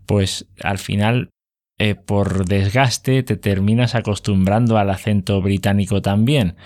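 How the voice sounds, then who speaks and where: A man's voice: fairly low pitch, fairly even intonation, a little slow, a little mellow. A man with a Spain Spanish accent chatting casually as host, explaining things at length with many fillers. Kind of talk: casual conversation.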